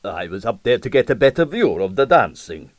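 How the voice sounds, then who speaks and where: Man playing a sulky, halting theatre dresser. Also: mid-range voice, very animated, no room sound, natural voice. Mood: sulky, defensive. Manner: halting foreign-sounding phrasing, clipped sentences. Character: sulky, jealous, wary male theatre dresser.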